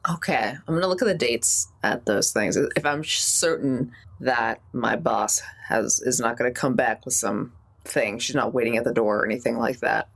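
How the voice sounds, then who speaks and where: Woman, low-pitched voice, tabletop game player, casual chat, wry, informal. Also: normal speed, gravelly.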